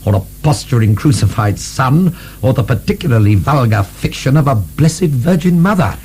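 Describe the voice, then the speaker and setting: A male actor plays an aristocratic libertine philosopher, urbane and mock-deferential. His mellow voice swings through lively inflections as he provokes with dry, polite irony. It is radio drama, with a faint hum behind it. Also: medium pitch, moderate pace, natural voice. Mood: scornful, assured. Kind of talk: British radio drama acting.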